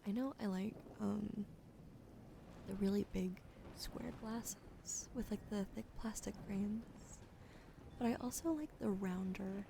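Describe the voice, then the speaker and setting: A young woman whispering softly and slowly to viewers on an ASMR stream, close to a sensitive microphone at her desk. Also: mid-range voice, vocal fry, noisy background. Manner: soft unvoiced whisper, slow and soothing.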